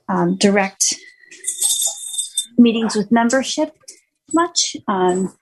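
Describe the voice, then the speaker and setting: Slow, hesitant woman with a husky voice. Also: medium pitch, poor audio. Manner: hesitant, many fillers. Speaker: committee member answering a question. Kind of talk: call-in discussion. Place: home with a dog barking.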